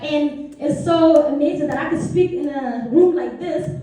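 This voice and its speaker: A woman's voice: high-pitched, measured pace, warm tone, heavy reverb, far from the mic. A young woman from South Sudan telling her personal story to a meeting, earnest and chatty with many asides. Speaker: speaker. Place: meeting room.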